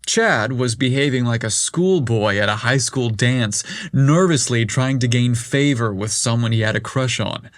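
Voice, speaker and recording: A man reading a scripted story aloud, telling it slowly and evenly. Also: mid-range voice, dry recording, studio quality. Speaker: narrator.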